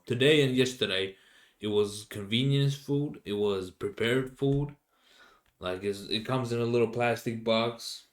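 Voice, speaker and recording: Halting young Finnish man, lowish voice. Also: a bit bright. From Finland. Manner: halting, many fillers and restarts, searching for English words. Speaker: podcast host. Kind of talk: casual solo rambling.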